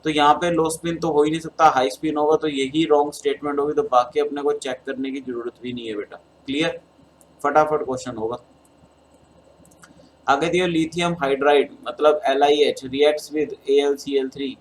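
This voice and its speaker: A man's voice: a little high, fairly even intonation, moderate pace, slight background noise, low-quality recording. A man with a Hindi accent and a sore throat, teaching chemistry as he works through an exam paper step by step and calling his students beta. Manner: addresses students as beta, talks through steps. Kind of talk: exam solution lecture.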